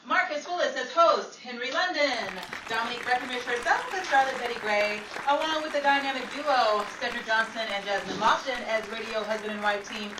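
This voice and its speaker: A woman's voice: on the high side, brisk, hardly any pauses. A woman announcing a 1940s-style radio show with jokey, theatrical patter. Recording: echoey, distant, noisy live room with crowd.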